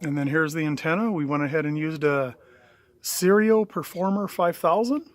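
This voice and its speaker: A middle-aged man with a fairly high voice and a dry, close sound. He talks casually and matter-of-factly, like a plain-spoken hobbyist walking viewers through his own handiwork on camera. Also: moderate pace, no room sound. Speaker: DIY installer presenting own work. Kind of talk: casual video walkthrough narration. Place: in and around a parked vehicle.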